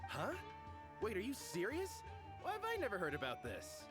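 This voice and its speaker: A nosy, indignant mercenary demands answers with a lively, swinging pitch. The voice is pushy and animated. Game music plays clearly behind. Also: moderate pace. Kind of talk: video game voice acting.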